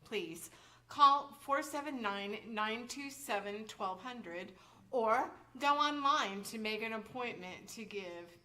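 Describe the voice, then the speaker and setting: Bright, unhurried woman announcer. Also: fairly high pitch, expressive. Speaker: announcer of a public service announcement. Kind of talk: scripted public service announcement. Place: indoor library stage performance.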